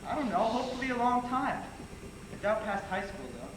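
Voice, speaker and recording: A teenage male student actor playing a naive, good-natured high school boy in an amateur stage play. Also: big pitch swings, fairly quick. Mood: defensive. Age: teens. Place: theatre stage, indoors. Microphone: far camera. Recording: echoey stage, far camera, loud noise.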